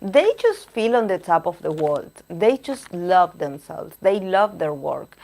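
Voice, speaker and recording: Slow-talking hoarse woman reading tarot. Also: on the low side, big pitch swings, darkish tone. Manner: halting, searching for words while reading cards. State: losing her voice. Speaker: tarot reader. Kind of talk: live-stream tarot reading, chatting with viewers.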